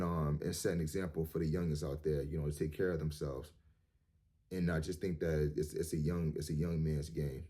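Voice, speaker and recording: A man in his thirties with a low, deep voice talks straight to his viewers. He rambles and restarts, repeats words, and fills his pauses with "um" and "you know". His tone stays earnest and motivational. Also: warm tone. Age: 30s. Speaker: vlogger. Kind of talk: casual monologue to camera.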